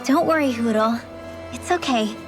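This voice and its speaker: A woman's voice: on the high side, normal speed, natural voice. An actress playing a spirited, outspoken young woman in a game. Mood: soothing. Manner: animated, outspoken. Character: spirited, distrustful, high-voiced young woman. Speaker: game character voice. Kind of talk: scripted English game voice acting. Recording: background music under dialogue.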